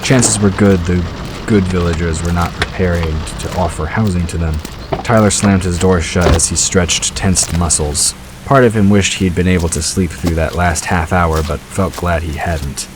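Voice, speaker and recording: A man's voice: sits low, fairly even intonation, normal speed, background noise. A man reading scripted story narration as the narrator of an audio drama. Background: rain and vehicle sound effects.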